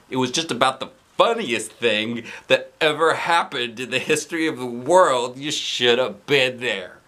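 A man in his forties reads a children's story aloud in an expressive, playful way, with asides to young listeners. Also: medium pitch. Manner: expressive, playful, exaggerated delivery. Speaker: children's book reader. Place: indoors, seated on a couch.

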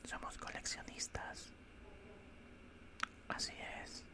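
A man voicing a cold, condescending alien scientist in a whispered ASMR roleplay, speaking in a calm, clinical, breathy whisper close to the microphone. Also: natural voice. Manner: slow, calm, clinical, faintly menacing. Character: cold, condescending male alien scientist examining a human specimen. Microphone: close-up studio microphone.

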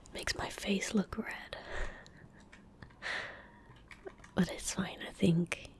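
A young woman giving soft-spoken ASMR gaming commentary, hushed, gentle and whispery, close to the microphone. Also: mid-range voice, steady tone, slow. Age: young adult. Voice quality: breathy, whispery. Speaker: ASMR streamer playing a game. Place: indoor gaming room at home. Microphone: close desk microphone.

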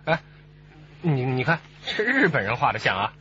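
An actor with a Mainland Chinese Mandarin accent playing a chatty, joking junior detective in a radio drama. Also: male voice, medium pitch, big pitch swings, moderate pace, sharp tone. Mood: teasing. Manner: exclamatory, teasing. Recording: slightly muffled broadcast with faint noise.

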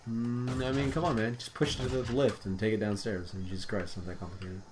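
A man's voice: on the low side, steady tone, quick pace, background noise. A tipsy man coaching his friend through a game, laughing, crude and bossy. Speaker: gameplay commentator. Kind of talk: casual gameplay chat.

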